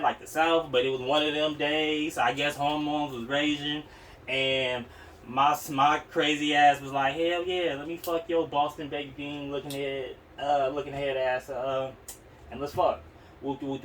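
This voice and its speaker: A man's voice: fairly high pitch, fairly bright tone. A young man with an Oakland accent, telling personal stories on a podcast in a slangy, profane, rambling way. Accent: Oakland, California. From Oakland, California. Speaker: podcast host. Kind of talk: casual, candid personal storytelling. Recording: distant mic, noisy room sound.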